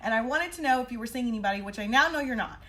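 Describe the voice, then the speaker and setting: A young woman with a bright, forceful, fairly high voice tells a casual personal story. She is blunt and slangy, swears freely, and acts out the dialogue dramatically as she goes. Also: very fast. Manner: animated, dramatic re-enacting of dialogue. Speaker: storytelling vlogger.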